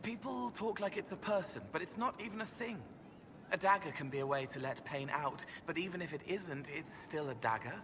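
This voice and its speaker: An actor playing a gentle, otherworldly young man in a video game, speaking in dreamy, halting, poetic fragments. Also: on the high side, expressive, background noise, close to an everyday voice. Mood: puzzled. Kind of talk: video game dialogue acting.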